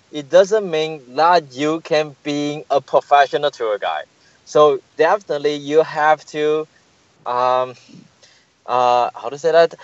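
A man with a slightly high voice speaks over a dry, muffled call line. He answers haltingly, repeating words while he searches for the right phrase. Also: no echo. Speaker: guest, tour business manager. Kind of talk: interview answers.

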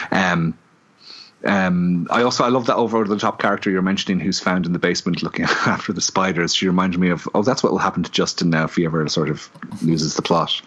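A man co-hosting a podcast, casually reviewing a film with lots of ums and pauses. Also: low voice. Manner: relaxed, many ums and hesitations. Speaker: podcast co-host. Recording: dull lo-fi audio.

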